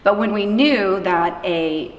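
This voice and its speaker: A woman's voice: mid-range voice, slow pace. Woman telling stories in an echoey room. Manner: informal, acts out quoted dialogue. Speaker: interviewee, anti-trafficking worker. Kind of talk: conversational storytelling in an interview. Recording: echoey room from far off, faint noise.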